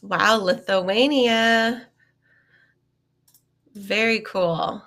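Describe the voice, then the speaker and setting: Bright, upbeat woman hosting a webinar. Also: mid-range voice, extremely slow. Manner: upbeat, chatty, many ums and uhs. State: sniffly, getting over a cold. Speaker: webinar host.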